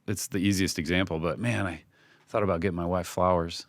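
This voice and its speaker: A man's voice: low-pitched, fairly even intonation, brisk, no room sound, studio quality. A man speaking informally and candidly as a team leader in a podcast interview, often acting out bits of conversation. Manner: informal, candid, often acts out quoted remarks. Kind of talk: casual conversational interview talk.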